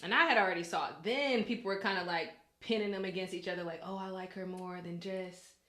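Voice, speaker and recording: A woman's voice: medium pitch, quick pace, bright, forceful tone, echoey room. A woman co-hosting a podcast, talking casually and colloquially. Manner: colloquial, informal. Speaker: podcast co-host; news anchor. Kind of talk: casual chat.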